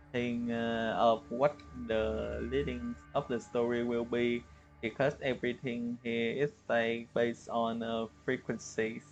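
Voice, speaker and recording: A man giving casual livestream commentary on a game, with halting, hesitant phrasing. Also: medium pitch, muffled recording. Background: game music.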